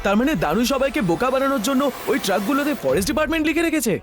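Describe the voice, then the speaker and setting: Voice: sits high, big pitch swings, rapid-fire, faint music behind. A performer voicing a loyal, chummy talking supercar sidekick in a children's cartoon, eagerly reporting back. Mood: earnest.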